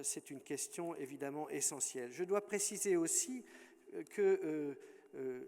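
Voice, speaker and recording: A man's voice: a little high, mellow, in a large hall. A man chairing a conference session, hesitant and full of fillers as he introduces the next speaker.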